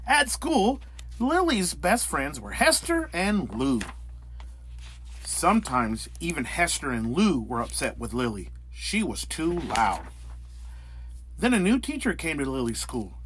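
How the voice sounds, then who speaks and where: Male storybook reader, theatrical and exaggerated, hugely animated, unhurried pace. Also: on the high side. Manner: theatrical, exaggerated, deliberate delivery. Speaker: children's story narrator. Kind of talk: animated scripted picture-book reading.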